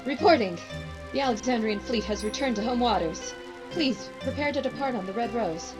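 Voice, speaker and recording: A woman's voice: fairly high pitch, normal speed, natural voice. Stern palace officer played by a woman, music behind. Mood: formal. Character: stern, dutiful female palace officer, curt and formal. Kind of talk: anime-style game fan dub acting.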